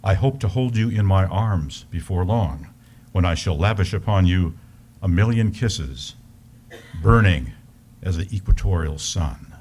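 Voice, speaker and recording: Man playing deep-voiced, ardent lover. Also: expressive, unhurried, a little mellow. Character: passionate, ardent lover writing to his beloved. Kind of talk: dramatic reading of a love letter. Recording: live stage recording with background noise.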